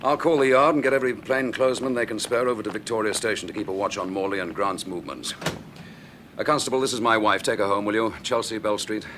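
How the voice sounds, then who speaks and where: Wry, authoritative police inspector, played by a male actor, low-voiced and rapid, radio thriller. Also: steady tone, fairly bright tone, natural voice. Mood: affectionate, authoritative. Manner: breezy, clipped, authoritative. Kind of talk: radio drama acting. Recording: noisy old radio drama recording.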